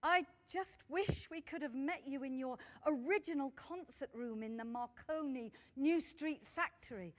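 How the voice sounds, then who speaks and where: An actress playing a polite, admiring museum host who interviews a guest in an earnest, welcoming way in a radio drama. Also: female voice, high voice, unhurried, hardly any pauses.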